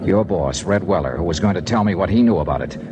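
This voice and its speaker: An actor playing a hard-boiled insurance investigator in a 1950s radio drama, narrating in a terse, clipped way. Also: male voice, sits low, expressive, fast talker, lo-fi sound, background noise. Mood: tense. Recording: old radio broadcast with music.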